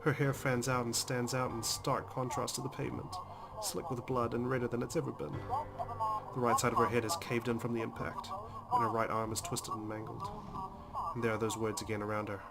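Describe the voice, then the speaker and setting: A man's voice: mid-range voice, animated, a little fast, creaky. A man hosting a let's play, reading visual novel narration aloud dramatically with casual, emotionally involved comments. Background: game music.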